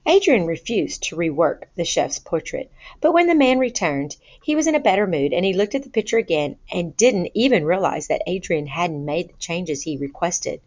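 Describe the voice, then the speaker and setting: A woman reading a children's story aloud in an expressive, deliberate storytelling manner. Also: fairly low pitch. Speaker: storybook reader. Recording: boxy roomy sound, dull lo-fi.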